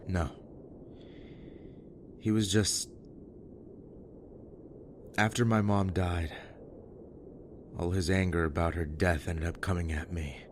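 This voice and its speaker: A man acting in an audio drama as a bitter, wounded, foul-mouthed survivor with a halting, raw delivery. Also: low voice, fairly even intonation, a little hiss or hum. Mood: halting, pained.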